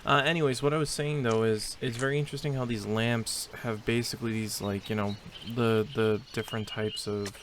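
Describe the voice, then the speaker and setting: A man's voice: medium pitch, fairly even intonation, brisk, hardly any pauses, fairly bright tone, a little hiss or hum. A man casually commentating a video game as he plays, thinking aloud and restarting his sentences. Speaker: gameplay commentator. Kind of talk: casual let's play commentary.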